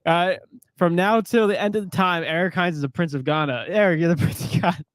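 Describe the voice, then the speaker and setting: Male podcast co-host, highish animated voice, close dry sound, rambling comic storyteller in casual sports talk. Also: fairly quick, no echo. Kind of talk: casual sports chat with joking banter.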